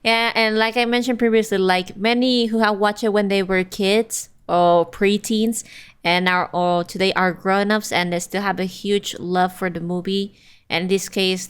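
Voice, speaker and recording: A woman co-hosting a podcast in casual chat, talking in halting phrases with many fillers as she searches for words. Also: lowish voice, smooth. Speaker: podcast co-host.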